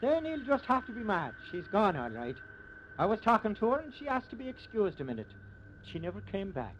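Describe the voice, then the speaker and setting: A man's voice: high-pitched, huge pitch swings, a little fast, fairly bright tone, full character voice. An actor playing a plain-spoken country lawman with ungrammatical folksy speech, in the style of 1950s radio drama. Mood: irritated, defensive. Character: folksy male rural sheriff and gruff, defensive deputy. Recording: muffled, noisy old radio recording.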